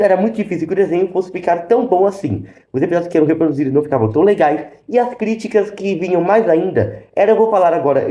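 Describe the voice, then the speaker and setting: A man's voice: a little high, mellow. A Brazilian man hosting a casual review video, explaining his opinions directly to viewers. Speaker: YouTube host. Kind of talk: casual review commentary to camera. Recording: roomy, echoey sound.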